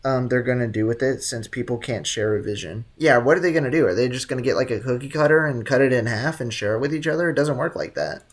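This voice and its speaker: A man's voice: medium pitch, fast, hardly any pauses. A man hosting a casual game livestream, commenting and reading chat with blunt, teasing banter. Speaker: livestream host.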